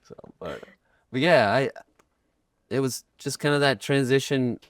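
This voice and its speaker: A man's voice: medium pitch, a little slow, a little hiss or hum. A middle-aged man chatting casually as a guest musician, rambling and self-deprecating, with lots of restarts. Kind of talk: casual chat.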